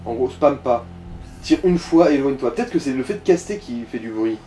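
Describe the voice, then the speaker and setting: A man's voice: mid-range voice, lively intonation, fairly quick, mellow. A man giving casual Let's Play commentary, coaching another player in crude, slangy talk. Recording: roomy echo, distant mic, music. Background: game music.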